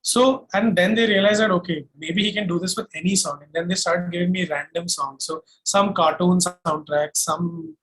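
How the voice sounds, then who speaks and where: A man's voice: sits high, fairly even intonation, moderate pace, hardly any pauses, a bit bright, poor audio. A young man with an Indian accent, chatting casually and telling stories as an interview guest. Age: young adult. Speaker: singer-songwriter guest.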